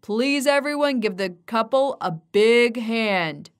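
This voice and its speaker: A woman doing a proud rich father announcing loudly. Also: fairly high pitch, expressive, takes it slowly. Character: wealthy middle-aged father making an announcement.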